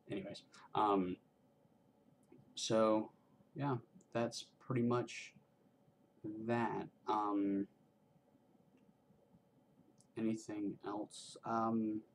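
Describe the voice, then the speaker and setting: A man's voice: on the low side, slight background noise, poor audio. A man of twenty-one chatting casually in a vlog, rambling with lots of ums and restarts, making self-deprecating jokes. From Georgia, US. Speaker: vlogger, college student filmmaker.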